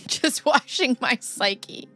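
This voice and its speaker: A woman's voice: high-pitched, expressive, slow pace, pushed and bright, faint music behind. A woman podcast co-host chatting casually with wry, joking asides. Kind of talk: casual banter.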